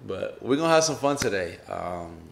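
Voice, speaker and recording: A man hosting a casual interview show, talking in relaxed slang with hype in his voice. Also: on the low side, normal speed, creaky, background noise. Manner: hyped, laid-back slang talker. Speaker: podcast host.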